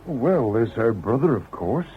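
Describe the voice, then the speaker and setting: An actor playing a hesitant, deferential police subordinate in a radio crime drama, matter-of-fact and reticent. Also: male voice, mid-range voice, big pitch swings, unhurried. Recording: muffled radio drama recording, clear noise.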